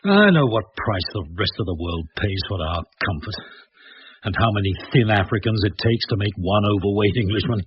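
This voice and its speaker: Low, brooding Englishman, acted by a man. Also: animated, moderate pace, natural voice. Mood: guilt-ridden. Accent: British. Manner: brooding, intense, self-questioning. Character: earnest, guilt-ridden, successful working-class-born Englishman. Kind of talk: British radio drama acting. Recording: muffled old radio recording, faint hiss.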